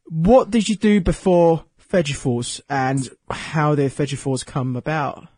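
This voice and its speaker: A man's voice: fairly high pitch, unhurried, a little mellow, no room sound. A man hosting an interview, asking long, rambling questions full of "you know" and restarts.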